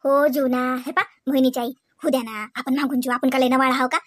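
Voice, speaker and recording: A woman voicing a calm older female relative who talks in a confiding way. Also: high voice, a little fast, no echo, clean studio sound.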